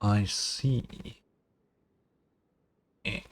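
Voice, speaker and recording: A man's voice: on the low side, steady tone, very slow. A man giving casual reaction commentary as a gaming streamer, informal, wry and sweary.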